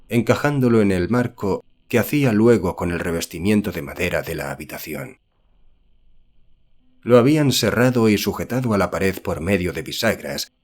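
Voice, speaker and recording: A man reading a horror novel as an audiobook narrator, in a measured, formal storytelling manner. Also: lowish voice.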